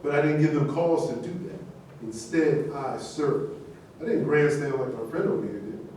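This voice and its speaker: A man's voice: medium pitch, normal speed, a little mellow, lightly acted. A man acting a reproving Navy veteran, echoey. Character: reasonable, reproving male actor and Navy veteran. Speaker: actor in a staged reading. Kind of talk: staged play reading. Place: echoey indoor room at a staged reading. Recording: distant, very echoey room with noise.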